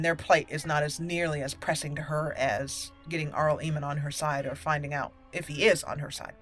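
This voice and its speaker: A woman hosting a gaming channel, giving casual, playful commentary on a roleplay game in a chatty way. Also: fairly low pitch.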